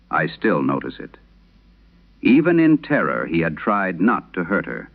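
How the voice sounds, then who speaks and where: A man playing an aging, haunted priest, telling a solemn horror radio monologue with measured, brooding, confessional delivery. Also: sits low, phone-quality sound, exaggerated voice.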